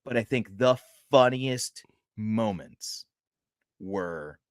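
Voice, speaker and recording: A man co-hosting a horror movie podcast, chatting in an unhurried way that keeps tipping into excitement, with laughter and the odd curse. His delivery is slow and drawn out. The sound is dry and close to the mic. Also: fairly low pitch, no room sound. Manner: excitable, laughs often, swears when worked up. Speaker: podcast co-host. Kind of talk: casual chat about a horror movie.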